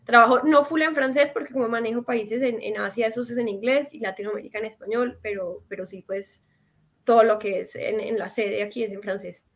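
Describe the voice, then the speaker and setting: A woman's voice: a little high, fairly quick. Colombian woman telling her story. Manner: animated storytelling with fillers and restarts. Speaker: guest. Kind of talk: casual interview talk. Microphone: video call.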